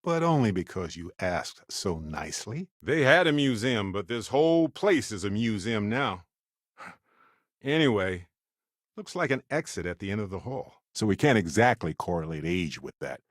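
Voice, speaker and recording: A wry male space explorer from a video game, with a lowish voice and a close, dry sound. He talks in a playful, conversational way, affectionate and expressive, like a chatty companion on a ship's crew. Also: moderate pace, no echo. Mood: wry, reflective.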